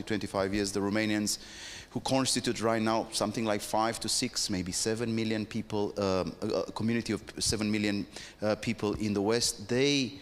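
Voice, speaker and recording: A man speaks reflectively on a conference panel, often hesitating and restarting his phrases. His voice is somewhat low, and it carries a long reverb through the hall.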